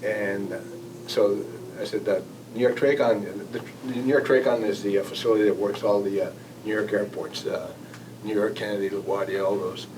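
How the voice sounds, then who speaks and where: An American man giving an informal spoken recollection as a guest speaker, in a halting, conversational manner. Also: on the low side, steady tone. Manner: halting, conversational, acting out quoted exchanges. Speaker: guest speaker, air traffic manager. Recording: distant mic, noisy room ambience.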